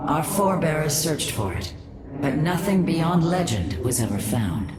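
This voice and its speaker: An actress playing a proud, bitter alien matriarch in a sci-fi game cutscene, speaking in a cold, formal, solemn way. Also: female voice, deep voice, sing-song, a little slow, over loud music. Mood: grave. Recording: echoing voice under very loud score.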